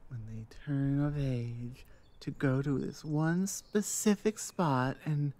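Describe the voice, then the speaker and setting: A woman's voice: rumbling low, takes it slowly, dark tone. A woman playing a rebellious young oni in a roleplay audio, with an exasperated, rambling, stop-start delivery. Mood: exasperated, venting. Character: rebellious young female oni venting about tradition. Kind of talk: roleplay audio acting, one-sided conversation.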